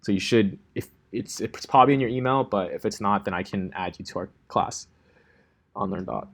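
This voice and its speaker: A young man from Los Angeles teaching a coding class in a relaxed, chatty way, with many ums and likes. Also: lowish voice, a little fast, gravelly. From Los Angeles, California. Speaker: coding instructor. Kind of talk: casual classroom lecture.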